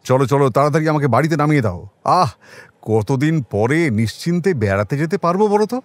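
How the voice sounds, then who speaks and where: A man's voice: fairly high pitch, animated, fairly quick, natural voice. A man playing a seasoned detective near retirement in an audio drama, wry, humane and musing in a conversational way. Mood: relieved, breezy. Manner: musing, conversational, gently ironic. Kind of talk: Bengali audio drama acting.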